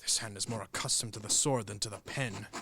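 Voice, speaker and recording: A man's voice: fairly low pitch, a little fast, noisy background, natural voice. A young man acting a grandiose cavalry officer in a radio play, with a pompous, theatrical manner. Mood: wry, proud.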